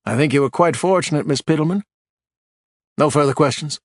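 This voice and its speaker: A man's voice: fairly high pitch, fairly quick, dry recording, studio quality, voice pushed up, natural voice. A man voicing a prim, stuffy prosecuting lawyer who questions and objects. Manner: prim, protesting.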